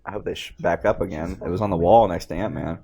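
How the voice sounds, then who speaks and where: A man chatting casually and excitably as a fan, hosting a movie commentary with plenty of slang. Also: deep voice, fast, gravelly. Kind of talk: casual film reaction chat.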